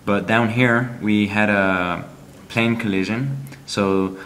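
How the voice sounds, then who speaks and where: A man reviewing a game app, walking through its screens in a halting, explanatory way with frequent ums. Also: on the low side, fairly even intonation, a bit bright, a little hiss or hum.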